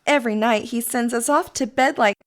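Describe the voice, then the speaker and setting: A woman's voice: a little high, a little fast, natural voice. A woman playing a charming, genteel sister in an audio drama, with a polite, airy, sociable manner. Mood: light, gracious.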